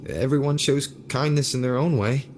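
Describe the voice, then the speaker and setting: A man voicing a calm, solemn male companion in a fantasy video game. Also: mid-range voice, moderate pace, lightly acted. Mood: calm, grave. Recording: game audio with clear background noise.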